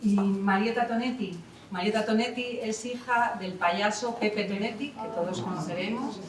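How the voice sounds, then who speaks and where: A woman's voice: medium pitch, steady tone, moderate pace. A woman, an artistic director introducing a conference panel in a chatty way, full of fillers and self-corrections. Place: theatre hall. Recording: echoey hall, voice from far off.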